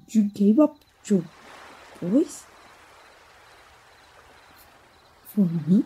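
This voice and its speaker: A woman playing a tender, lovestruck young princess in a romantic ASMR roleplay, speaking softly, haltingly and with feeling. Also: medium pitch, husky, full character voice. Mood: moved, worried. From Mexico. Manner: halting, emotive, with gasps and sighs. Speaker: voice actor.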